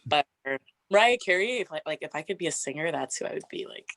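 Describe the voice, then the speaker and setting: Young woman, 20s, deep raspy voice, chatty guest, dry close sound. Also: animated, fast, a bit bright, no echo. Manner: chatty, frequent "like" fillers, laughs often. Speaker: interview guest. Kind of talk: casual get-to-know-me interview.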